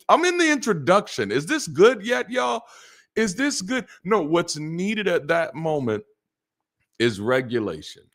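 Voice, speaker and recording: A middle-aged man with a naturally deep voice, preaching a sermon in a lively, joking way and repeating words for emphasis. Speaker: pastor.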